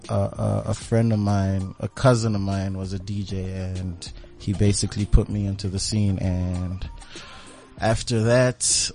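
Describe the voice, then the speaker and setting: A man from Zambia, a DJ guest, giving calm, laid-back answers in an interview. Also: low-pitched, creaky.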